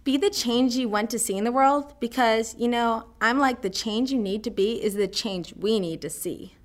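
A woman's voice: on the high side, moderate pace, close to an everyday voice. A woman playing a verbose, idealistic teenage girl student who chatters and says "like" a lot. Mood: eager. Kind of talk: amateur radio drama acting.

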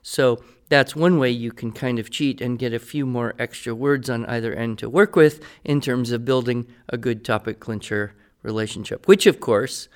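A man's voice: mid-range voice, normal speed, few pauses, smooth. A man talking conversationally on a podcast as a teacher, playful and didactic, explaining things step by step. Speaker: writing teacher answering listener questions.

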